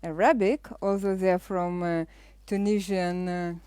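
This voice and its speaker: A middle-aged woman with a Lithuanian accent hosting a live cooking show, chatting casually as she explains each step with frequent pauses. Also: fairly low pitch, fairly even intonation, mellow, clear, resonant tone, dry recording.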